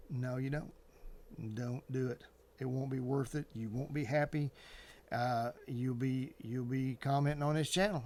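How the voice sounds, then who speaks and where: A man talking casually and earnestly to viewers as an advice host, in a halting, repetitive, colloquial way. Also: on the high side.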